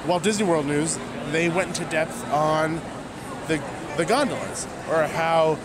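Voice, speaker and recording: A man co-hosting a podcast, chatting casually and emphatically like an excitable fan. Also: a little high, unhurried, background noise. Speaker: podcast co-host. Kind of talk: casual podcast chat about a fan event. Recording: loud crowd din behind.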